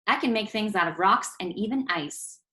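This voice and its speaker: A woman's voice: mid-range voice, expressive, normal speed, pushed and bright, reverberant. A woman voicing a boastful young boy in a storybook, casual and self-assured. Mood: confident. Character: breezy, boastful young boy who builds things.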